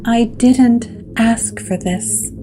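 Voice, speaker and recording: A woman voicing a shaken, regretful haunted woman in a gothic audio drama, quiet and hesitant. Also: on the high side, drawn-out words, over music.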